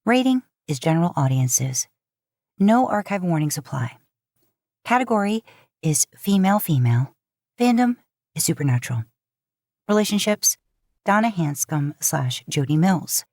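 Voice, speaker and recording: A woman narrating scripted fiction in an audiobook style, with measured, deliberate phrasing into a close mic. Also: sits low, darkish tone, no echo. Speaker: podfic narrator.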